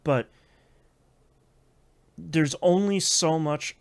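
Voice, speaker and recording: A man with a fairly high voice chatting on a game podcast. He talks in a lively, hesitant way, full of restarts and "like," as he critiques a game. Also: extremely slow, creaky, clear, resonant tone. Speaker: podcast co-host. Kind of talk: casual chat.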